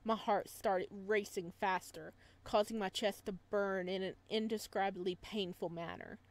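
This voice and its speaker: A woman's voice: medium pitch, steady tone, unhurried. A woman playing through a visual novel, reading it aloud with dramatic narration, occasional stumbles and casual asides. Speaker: video game playthrough host.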